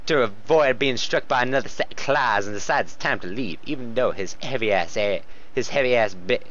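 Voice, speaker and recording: A man's voice: mid-range voice, brisk, fairly bright tone. A man reading fan fiction aloud for laughs, with casual banter and frequent giggly breaks. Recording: muffled, noisy home recording.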